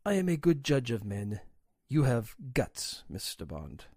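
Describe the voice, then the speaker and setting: A man's voice: mid-range voice, sing-song, unhurried, vocal fry, darkish tone, dry recording, studio quality, heavily acted. A man playing a boastful, hearty older Greek smuggler boss in an audio drama. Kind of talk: audio drama character acting.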